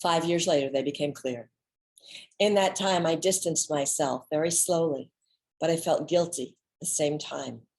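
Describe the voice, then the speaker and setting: An American woman giving a dramatic, autobiographical one-woman reading, theatrical and deliberate with dramatic pauses. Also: low voice, gravelly, a little mellow. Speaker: solo performer, storyteller.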